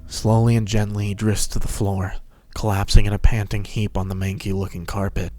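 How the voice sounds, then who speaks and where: A man's voice: lowish voice, little pitch movement, a little fast, darkish tone, soft background music. A man narrating a fan-fiction story as a scripted dramatic reading.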